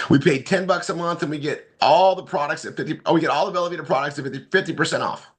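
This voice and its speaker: A man who is hoarse today, running a live online marketing training with loose, repetitive, upbeat talk. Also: a little high, a bit bright.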